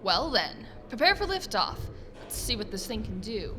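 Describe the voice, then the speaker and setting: A woman's voice: on the high side, big pitch swings, normal speed. A woman playing a feisty, sarcastic young pilot on a solo mission in a sci-fi audio drama, given to wry muttering and swearing. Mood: wry, confident. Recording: loud vehicle and ambient effects noise.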